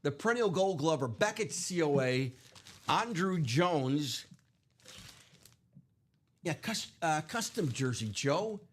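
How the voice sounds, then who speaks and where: A man's voice: fairly high pitch, sing-song, slow, long pauses, breathy edge. Chatty man losing his voice. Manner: chatty, rambling, many fillers. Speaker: live card break host. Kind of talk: casual live stream chat.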